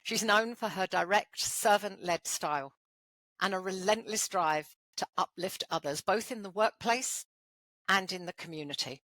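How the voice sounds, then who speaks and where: A woman's voice: mid-range voice, normal speed, fairly bright tone, no room sound, low-quality recording. A woman with a Brooklyn accent hosting a podcast interview, chatty and playful. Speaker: podcast host. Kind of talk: interview conversation.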